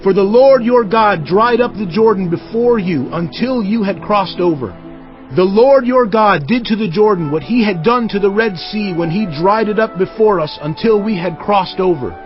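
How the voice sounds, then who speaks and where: An actor playing an earnest, authoritative ancient Israelite leader in a dramatized audio Bible, declaiming firmly. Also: male voice, high-pitched, normal speed, close to an everyday voice. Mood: earnest, reverent. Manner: declamatory, firm. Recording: dull audio, faint music underneath.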